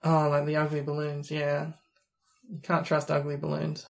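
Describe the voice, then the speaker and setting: A gravelly, creaky speaker with a posh Australian accent that can pass for British. The delivery stays flat and even, rambling and hesitant with lots of ums and restarts. Casual podcast chat. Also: medium pitch, normal speed. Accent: posh-sounding Australian, sometimes taken for British. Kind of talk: casual chat about a video game.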